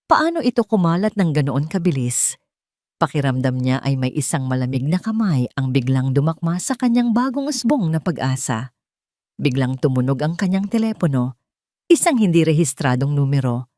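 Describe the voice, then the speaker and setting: A woman storyteller delivers scripted, dramatic narration. Her voice is fairly low and soft-toned, recorded very dry with no room around it. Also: big pitch swings, normal speed, dry recording.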